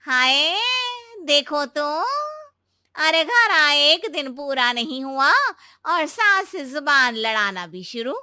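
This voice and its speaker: A woman's voice: high voice, animated, slow, pushed and bright, dry recording, studio quality, full character voice. A woman playing a domineering older mother-in-law in a cartoon story, with a nagging, sarcastic way of drawing out her taunts. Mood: scolding, taunting. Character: domineering, scolding older Indian mother-in-law, village matriarch. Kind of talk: Hindi cartoon story dubbing.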